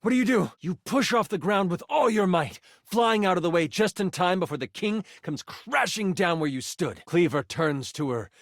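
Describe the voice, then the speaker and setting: A man's voice: high voice, lively intonation, moderate pace, few pauses, no room sound, studio quality. A man playing a game master, narrating fantasy battles in a theatrical, suspenseful storytelling style. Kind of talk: dramatic tabletop fantasy narration.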